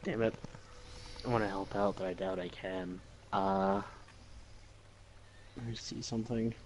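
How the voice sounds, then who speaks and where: A man with a fairly low voice chats casually as a game player. He mutters tentatively and swears, with faint noise in the recording. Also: fairly even intonation, a little slow. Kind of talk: casual gaming chat.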